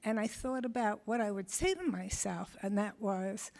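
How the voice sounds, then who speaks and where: A woman in the workshop, sharing a personal story in a reflective, measured way. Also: medium pitch, animated, vocal fry, a little mellow. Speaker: workshop participant.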